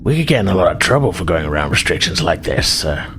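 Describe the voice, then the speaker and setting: A nervous, awkward engineer aboard a starship, played by a man in a tabletop roleplaying game. The voice is low, halting and stammering, evasive about bending rules, with clear background noise. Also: very animated, a bit bright.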